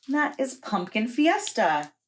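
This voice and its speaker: A woman preschool teacher reading a children's storybook aloud in a deliberate, expressive storytelling way. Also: a little high, noisy background.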